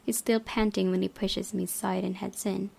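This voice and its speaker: A woman's voice: mid-range voice, little pitch movement, moderate pace, creaky, very noisy. A woman reading a visual novel aloud as a gaming host, dramatic in delivery and sometimes stumbling, over guitar music. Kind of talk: expressive read-aloud narration of visual novel.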